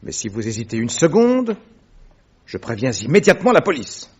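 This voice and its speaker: A man's voice: medium pitch, huge pitch swings, moderate pace, a little mellow, husky. A dull, lo-fi radio drama recording carries a commanding male detective. His intonation rises and falls widely as he lays out each point precisely and assertively, in a theatrical, methodical manner. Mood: methodical, stern. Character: shrewd, commanding male detective laying out an accusation.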